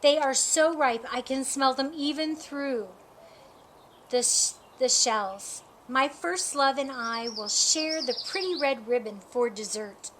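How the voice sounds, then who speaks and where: A woman's voice: high voice, takes it slowly, a bit bright, a little hiss or hum. A woman voicing a devoted, cheerful male goat in love from a children's storybook, speaking emphatically. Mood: delighted.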